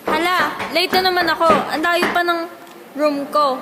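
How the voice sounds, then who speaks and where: A young woman playing a studious, tired teenage high school girl in an amateur school radio drama. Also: steady tone, moderate pace, noisy background. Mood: weary.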